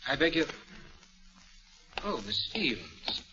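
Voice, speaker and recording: An actor playing a polite, reluctant yet outspoken valet in a 1940s radio mystery, in a deferential manner. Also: male voice, fairly high pitch, huge pitch swings, slow pace, sharp tone, a little hiss or hum, heavily acted. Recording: muffled vintage 1940s radio recording.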